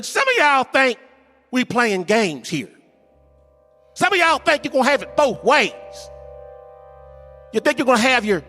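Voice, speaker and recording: A man voicing a fiery male church preacher who builds his sermon into shouted, rising peaks. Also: very high voice, voice pushed up, full character voice. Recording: long hall reverb, faint music.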